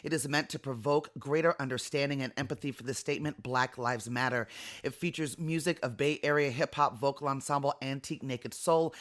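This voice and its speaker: A woman's voice: very deep voice, fairly bright tone. A woman hosting a podcast, reading a scripted outro in an upbeat, announcing manner. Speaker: podcast host. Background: faint music.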